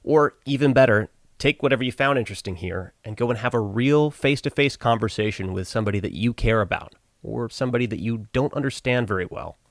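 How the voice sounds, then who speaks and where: A man's voice: mid-range voice, fast, hardly any pauses, no room sound, clean studio sound. A man hosting a podcast, talking in an informal, conversational way. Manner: informal, appreciative, says "man" and "dude" often. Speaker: podcast host. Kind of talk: casual chat and informal host outro.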